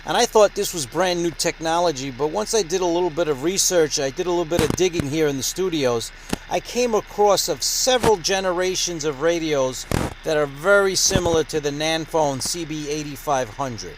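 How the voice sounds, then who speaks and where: A man with a slightly high voice hosts a gear review like a radio show. He talks in a conversational presenter's style, with noticeable noise behind him. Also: moderate pace.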